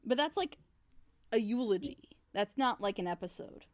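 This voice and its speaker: A woman's voice: on the high side, animated, moderate pace. A woman hosting a casual group critique, giving her opinions and explaining them at length. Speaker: host of a panel commentating on audio dramas. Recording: home mic with clear background noise.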